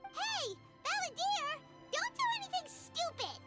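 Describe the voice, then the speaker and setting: A woman voicing a tiny, excitable childlike fairy companion in a video game, squeaky and exclamatory. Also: a little slow, soft background music, exaggerated voice. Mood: alarmed.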